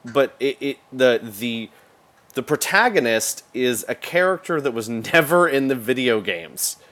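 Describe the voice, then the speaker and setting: A man's voice: mid-range voice, expressive, measured pace, a bit bright. A man on a podcast panel chatting casually and critically, repeating himself for emphasis. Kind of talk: casual group discussion.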